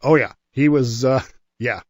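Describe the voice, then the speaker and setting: Man, mid-pitched voice, conversational history storyteller, enthusiastic, emphatic, halting repeats, dry sound. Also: no room sound. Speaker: history segment guest.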